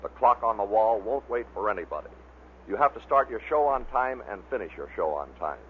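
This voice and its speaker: A man's voice: fairly low pitch, lively intonation, fairly quick. A man reading scripted narration that introduces clips, as the narrator of a compilation. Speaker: narrator of a radio bloopers compilation. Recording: muffled vintage narrow-band recording with hiss.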